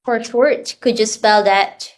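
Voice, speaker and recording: A woman's voice: fairly high pitch, steady tone, slow pace, slight background noise. A woman from the Philippines teaching an online English class to children, asking patient prompting questions over a video call.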